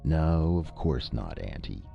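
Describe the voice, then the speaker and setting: A man's voice: rumbling low, big pitch swings, slow pace, vocal fry, heavily acted. Man voicing a cheerful cheeky young man, breezy, teasing, lo-fi storybook. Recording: lo-fi with faint music.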